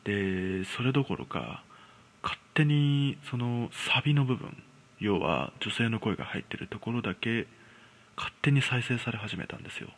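A man's voice: mid-range voice, animated, normal speed, a bit bright. A man casually telling a ghost story in a conversational, hesitant way, acting out people's reactions as he goes. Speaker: storyteller host.

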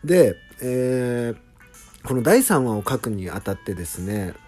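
A man's voice: mid-range voice, big pitch swings, a little slow, a little mellow, husky, faint music behind. A man hosting a casual solo behind-the-scenes talk, speaking politely with enthusiastic emphasis.

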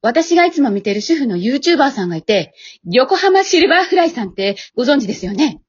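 Woman acting a modest housewife, slightly husky. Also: high-pitched, very animated, fairly quick, lo-fi sound, heavily acted. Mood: weary, confiding. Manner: humble, polite, hesitant. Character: modest, earnest housewife YouTuber losing heart, speaking politely. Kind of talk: amateur radio drama acting.

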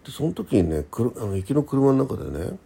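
A man, probably middle-aged, chatting casually by himself as a radio host, halting and thinking aloud in short polite phrases. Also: medium pitch, sing-song, creaky, warm tone, husky. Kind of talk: casual solo chat about daily life.

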